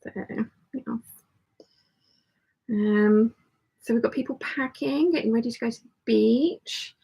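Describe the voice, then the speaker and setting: Croaky, crackly-voiced woman chatting. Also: on the high side, moderate pace, dark tone. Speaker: host of a live sewing hangout. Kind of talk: casual livestream chat, reading out viewer comments.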